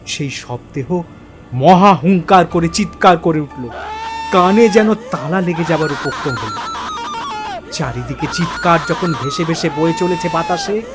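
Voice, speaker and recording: A man acts a bewildered male narrator telling his own horror story. His slightly high voice moves slowly and haltingly, theatrical and full of suspense. Faint music runs beneath him. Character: bewildered man haunted by forgotten childhood memories. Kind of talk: dramatic first-person horror story narration.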